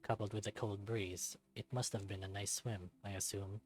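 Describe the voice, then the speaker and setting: Suave male raccoon played by a man, visual novel: quiet, low, measured delivery, teasing but guarded, music underneath. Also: steady tone, natural voice. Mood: casual, wistful. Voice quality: low, soft-spoken.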